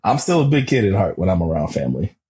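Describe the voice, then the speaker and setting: A man with a very deep, husky voice talks as a podcast guest. His tone is dark and mellow. He speaks in an easygoing, laid-back way. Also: sing-song, fast talker. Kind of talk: casual chat.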